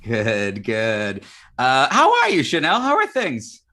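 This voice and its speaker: Middle-aged American male host, very bright, forward voice, informal and enthusiastic. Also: mid-range voice, huge pitch swings, slow pace. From Arizona, United States. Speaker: talk show host. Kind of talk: live casual chat show hosting.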